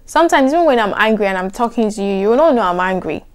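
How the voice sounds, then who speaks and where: A young adult woman with a soft, soothing voice, chatting to camera in an animated, emphatic way. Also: medium pitch, quick pace, clear, resonant tone. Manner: animated, emphatic, repeats "trust me". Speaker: vlogger. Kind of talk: casual chat to camera.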